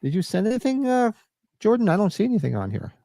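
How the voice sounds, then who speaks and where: A man co-hosting a live podcast, chatting loosely, joking and reading chat comments, a little hoarse. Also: sits high, wildly swooping pitch, fast, warm tone, no echo, studio quality. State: losing his voice. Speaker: podcast co-host. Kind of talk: casual live-stream chat.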